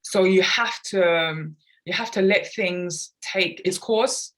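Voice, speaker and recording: A woman's voice: on the low side, takes it slowly, a bit bright, low-quality recording. A woman who grew up in London, speaking earnestly and reflectively as a guest in a conversational podcast interview. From grew up in London, England. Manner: earnest, reflective, talks at length about personal experience. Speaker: guest, children's author and artist.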